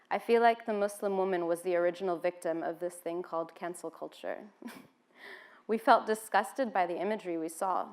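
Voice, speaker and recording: American woman, talk speaker, relaxed conversational delivery, lots of "um"s, mid-pitched. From United States (Washington, D.C.). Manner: conversational, with frequent "um" fillers. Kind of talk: informal seated talk to an audience.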